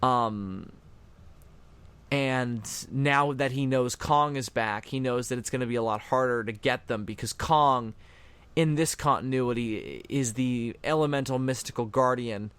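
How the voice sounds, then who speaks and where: A man's voice: medium pitch, fairly bright tone, smooth. A man hosting a casual fan commentary on a cartoon, explaining things in a matter-of-fact way. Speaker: commentary host.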